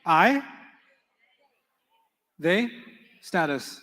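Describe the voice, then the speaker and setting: A man whose first language is Urdu, teaching an Arabic class in a relaxed, joking manner and quizzing his students. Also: high-pitched, very slow, long reverb tail. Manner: playful, joking, quizzes students in call-and-response. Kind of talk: live classroom lecture with storytelling.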